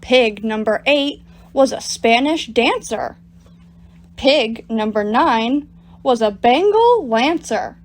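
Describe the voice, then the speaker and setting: A woman reading picture books aloud to children as a storytime reader, in an animated, deliberate storytelling manner. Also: a little high. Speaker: storytime reader at a public library. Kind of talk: expressive picture-book read-aloud for children.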